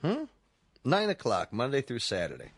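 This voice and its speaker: Easygoing, lively-toned male museum guard. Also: medium pitch, normal speed, slightly rough, no room sound, exaggerated voice. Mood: casual, polite. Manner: casual, polite. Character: easygoing, courteous museum guard, an ordinary working man. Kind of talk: radio drama acting. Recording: dry studio voice over music.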